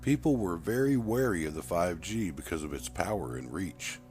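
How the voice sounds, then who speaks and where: A man reading his own story aloud as a narrator, in a steady, even tone. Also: sits low, moderate pace, soft background music.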